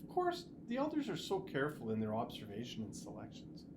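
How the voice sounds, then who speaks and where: A storybook reader playing a kindly, reassuring father who chats gently about his own youth. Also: mid-range voice, huge pitch swings, a little fast, noisy background.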